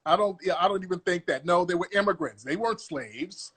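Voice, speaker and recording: A man's voice: high voice, a little fast, fairly bright tone, slightly rough. A man hosting a live call-in room, grilling callers in an aggressive, profane, repetitive way. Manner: aggressive, interrogating, profane, repeats himself. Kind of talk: confrontational live-stream comedy bit.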